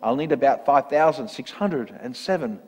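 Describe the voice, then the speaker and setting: A man's voice: mid-range voice, expressive, moderate pace, a little mellow, lightly acted. A man voicing a pompous, power-hungry turtle king in a children's storybook, bellowing boasts and commands in a reverberant hall. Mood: indignant, defiant. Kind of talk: read-aloud children's storybook in verse. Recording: echoey hall with long reverb.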